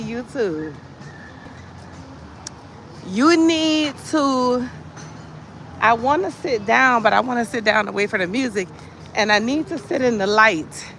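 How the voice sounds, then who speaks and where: An American livestream host chatting casually and telling comic stories in an animated, sassy, emphatic way, with conversational asides. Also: medium pitch, slight background noise. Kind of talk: casual chat with comic storytelling.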